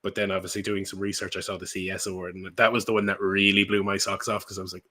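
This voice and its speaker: A man's voice: low-pitched, level tone, fast talker, pushed and bright. A man with an Irish accent hosting a podcast, chatting in an enthusiastic, informal way. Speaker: podcast host. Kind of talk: casual conversational interview.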